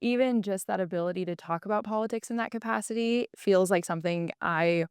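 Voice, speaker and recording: A woman's voice: mid-range voice, brisk, clean tone, no room sound, clean studio sound. A woman in her twenties from Kentucky, a guest telling a story in casual, chatty speech with lots of "like". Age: 20s. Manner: chatty, frequent "like" fillers. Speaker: podcast guest. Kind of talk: casual chat.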